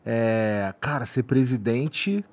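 A man hosts a podcast interview and asks his questions in a relaxed, conversational manner. His voice sits at an ordinary pitch, close to a studio mic on a boom arm. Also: slow. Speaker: podcast host.

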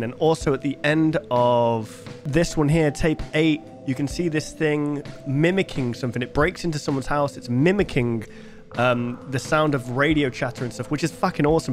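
A man in his twenties giving casual reaction commentary into a close desk microphone. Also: mid-range voice. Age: 20s. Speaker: reaction video host. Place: bedroom gaming setup, indoors.

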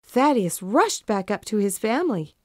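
A woman narrating a children's storybook in an expressive, deliberate storytelling manner. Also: mid-range voice, no echo, studio quality.

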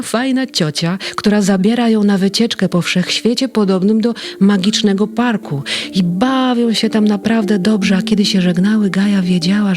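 A woman narrating a children's bedtime story slowly and tenderly. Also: mid-range voice. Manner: slow and tender, with lively voice play. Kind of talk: storybook reading.

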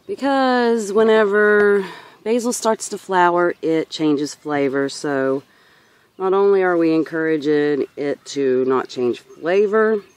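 A woman's voice: deep voice, darkish tone. A woman in her thirties giving a casual, rambling vlog-style tour as a gardener, recorded outdoors on a handheld camera. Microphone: handheld camera or phone.

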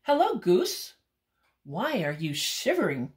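A woman's voice: wildly swooping pitch, takes it slowly, darkish tone. A woman voicing a sad, earnest young raccoon in a storybook reading aloud. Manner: high, eager, emphatic storybook reading.

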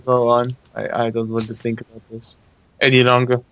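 A man chatting with friends, making quick joking remarks about a story being read. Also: medium pitch, monotone, a bit bright, a little hiss or hum, rough recording. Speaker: friend listening in. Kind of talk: casual chat over voice chat.

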